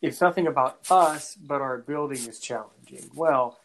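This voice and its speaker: Halting North American male podcast host. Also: medium pitch, fairly bright tone, a little hiss or hum, rough recording. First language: English; French is his second language. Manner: halting, often repeats words before finishing a thought. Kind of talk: conversational interview.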